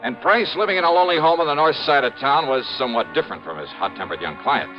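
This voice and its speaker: An actor playing a wry, tough, skeptical American insurance investigator in a 1950s radio detective drama, with a brisk, casual, sardonic delivery. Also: male voice, fairly high pitch, animated, faint music behind, lightly acted. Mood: wry, narrating. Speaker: lead actor and narrator. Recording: muffled old radio broadcast recording.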